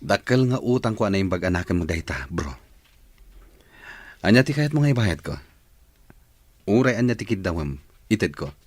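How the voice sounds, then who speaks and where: A man's voice: low-pitched, big pitch swings, normal speed, pauses often, no echo. A man with an Ilocano accent playing a devoted, generous suitor in a radio drama, speaking tenderly and earnestly. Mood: eager, friendly.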